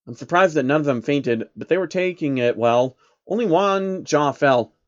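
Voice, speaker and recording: A man's voice: fairly high pitch, lively intonation, normal speed. A man reading a story aloud as narrator with casual asides, on slightly dull audio. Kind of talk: fan fiction reading with asides.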